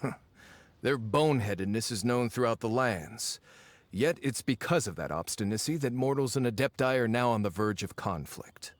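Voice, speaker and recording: A man's voice: medium pitch, animated, moderate pace, close to an everyday voice. A man playing a wry agent of a shadowy faction in a video game, dry, informative and a little scornful. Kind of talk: video game character acting.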